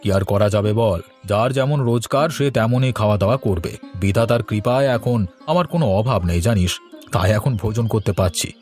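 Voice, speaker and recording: Self-assured, prosperous cartoon village trader played by a man, bossy edge, lower register, light music underneath. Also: a little fast, a little mellow, lightly acted. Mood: self-satisfied. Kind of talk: Bengali cartoon dialogue.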